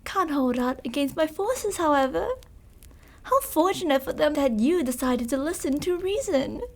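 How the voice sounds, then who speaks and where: A woman's voice: sits high, animated. A woman playing a sadistic, possessive vampire noblewoman in an ASMR roleplay, teasing and archly courteous, at times slipping toward a whisper. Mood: silky, menacing. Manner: slow, teasing, mock-courteous, archly formal, drifting into whispers. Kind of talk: ASMR roleplay, intimate scripted monologue.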